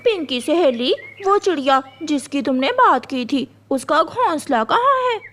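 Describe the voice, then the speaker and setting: A woman voicing a jealous, bitter, scheming crow wife in a cartoon, sharp-tongued and complaining. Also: high-pitched, animated, measured pace.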